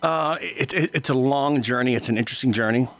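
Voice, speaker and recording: A man in his fifties, from Cuba, chatting as a guest on a radio show, relaxed, laughing easily and stammering on his starts. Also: mid-range voice, a little fast, dry recording. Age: 50s. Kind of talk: casual radio interview.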